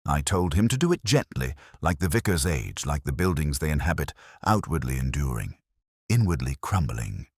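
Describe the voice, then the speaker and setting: Man, extremely deep voice, expressive intonation, scripted detective narration, brooding, literary, measured, dry sound. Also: slightly rough, dry recording. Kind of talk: scripted first-person detective story narration.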